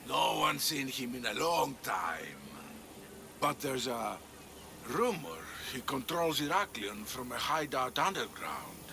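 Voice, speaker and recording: A male actor playing a weary, wary old informant in a video game cutscene, gravelly and warning. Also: medium pitch, sing-song, takes it slowly, exaggerated voice. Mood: weary, fed up. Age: older. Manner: hesitant, warning.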